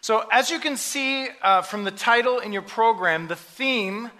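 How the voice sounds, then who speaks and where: A man preaching a sermon in short phrases, pausing so an interpreter can translate. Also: high-pitched, pushed and bright. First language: English. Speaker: pastor. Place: church sanctuary.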